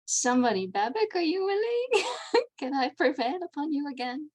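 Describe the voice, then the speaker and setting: A woman's voice: high-pitched, big pitch swings, normal speed. A Dutch woman whose first language is Dutch, giving a casual, chatty convention presentation over a video call, with wry asides. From Netherlands.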